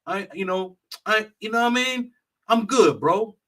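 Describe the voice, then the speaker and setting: Brief: a man putting on a swaggering tourist bro, lazy slang, a bit lower and softer. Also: animated, moderate pace. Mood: mocking. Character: cocky careless male tourist, swaggering.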